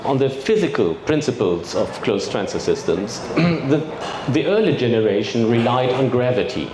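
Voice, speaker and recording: A man with a German accent giving a technical presentation at a trade fair, measured, with fillers and throat clearing. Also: mid-range voice, lively intonation. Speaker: industry expert presenter. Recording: echoey hall with background noise.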